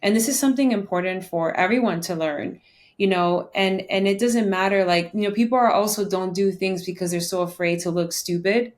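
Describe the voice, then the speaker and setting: Woman podcast host, normal mid pitch, casual motivational chat, earnest and emphatic, repeats stuff to make the point. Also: brisk.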